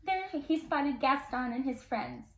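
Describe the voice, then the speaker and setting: A woman's voice: high voice, unhurried, poor audio. A woman reading a fairy tale aloud to children expressively, with casual asides. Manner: expressive, dramatic storytelling. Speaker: storyteller, teacher.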